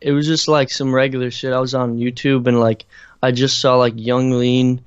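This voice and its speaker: A young man from Ireland with a slight Irish accent, answering interview questions in a halting, casual way, full of fillers and restarts. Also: medium pitch, level tone, smooth, no room sound. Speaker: interviewed musician. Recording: dry, muffled lo-fi recording.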